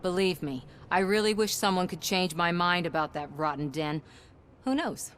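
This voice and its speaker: A woman's voice: on the low side, animated, moderate pace, fairly bright tone, slight background noise. A woman acting a persistent, idealistic television news reporter in a video game, earnest and slightly wry. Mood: earnest, persuasive.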